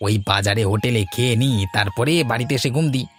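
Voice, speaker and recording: A poor, hardworking village fisherman, played by a man in a cartoon folktale. He is world-weary but talks rapidly, at a middling pitch. Faint music plays behind. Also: close to an everyday voice. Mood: resigned. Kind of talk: cartoon fairy-tale voice acting.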